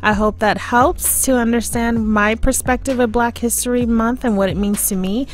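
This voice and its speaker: A woman's voice: on the high side, steady tone, moderate pace, faint music behind. American woman hosting a casual podcast. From United States. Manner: conversational, addresses listeners directly. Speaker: podcast host. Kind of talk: casual podcast talk, personal opinion.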